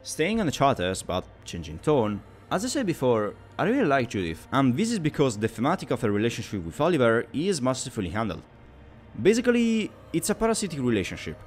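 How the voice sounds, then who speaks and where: A man's voice: medium pitch, wildly swooping pitch, fast, faint music behind. A man delivering a scripted video game review in an explanatory, opinionated way.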